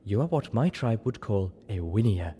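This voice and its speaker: A man voicing a calm, observant female zebra in an audiobook story, speaking frankly in measured, formal phrases. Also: on the low side, slightly rough, full character voice. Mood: dry teasing.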